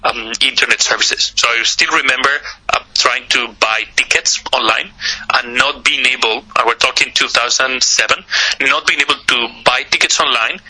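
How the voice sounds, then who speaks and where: A man from Spain with a Spanish accent, a guest answering interview questions over a voice call app, often halting and repeating words. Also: mid-range voice, pushed and bright, breathy edge, low-quality recording. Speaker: guest, accelerator partner.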